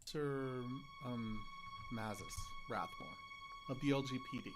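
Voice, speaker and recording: A man's voice: mid-range voice, a little slow, lightly acted. A man playing a formal, hesitant official in an audio drama. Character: formal, hesitant male official addressing someone. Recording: music under the voice.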